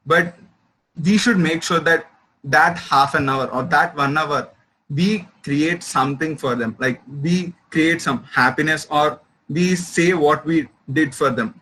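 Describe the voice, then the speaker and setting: A man's voice: on the high side, sharp tone, husky, low-quality recording. A young man, earnestly giving advice about interviews. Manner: earnest, reflective. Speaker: student speaker.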